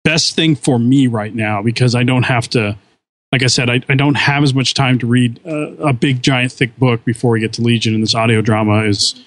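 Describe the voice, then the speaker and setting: A man's voice: medium pitch, fairly even intonation, normal speed, no room sound. A man co-hosting a casual podcast, talking with enthusiasm and often restarting his sentences. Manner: enthusiastic, rambling with frequent restarts. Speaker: podcast co-host.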